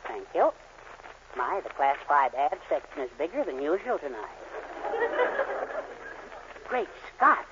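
A man's voice: on the high side, big pitch swings, measured pace, long pauses. An actor playing a meek, dryly sarcastic middle-aged father in a 1940s radio sitcom. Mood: dry henpecked. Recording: dull 1940s radio broadcast recording with faint hiss.

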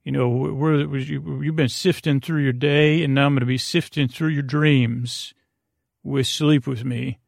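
A man hosting a bedtime podcast with a creaky voice, meandering and whimsical as he drifts haltingly from one tangent to the next. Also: mid-range voice, steady tone, no room sound, clean studio sound. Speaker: podcast host. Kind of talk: rambling bedtime podcast monologue.

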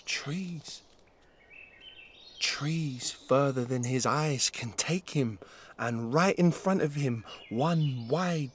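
A male narrator reading a dark audio drama, his phrases short, weighted and deliberate. He takes his time over every line, unhurried and measured, with a faint hush of ambience behind him. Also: fairly high pitch, slight background noise.

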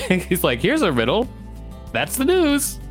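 A man's voice: sits high, wildly swooping pitch, takes it slowly, faint music behind. A man co-hosting a podcast, reading an article aloud and adding playful, mock-indignant asides. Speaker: podcast co-host.

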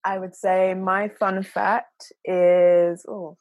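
A woman's voice: mid-range voice, level tone, extremely slow, darkish tone. A woman guest on a podcast, telling a self-deprecating story with giggles. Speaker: guest, creative director. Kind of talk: casual podcast chat.

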